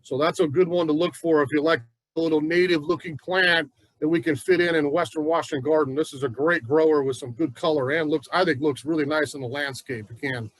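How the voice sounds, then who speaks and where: A man's voice: a little high, fairly even intonation, brisk, poor audio. A man from the Pacific Northwest giving a chatty, folksy gardening class at a plant nursery. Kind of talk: casual gardening class talk.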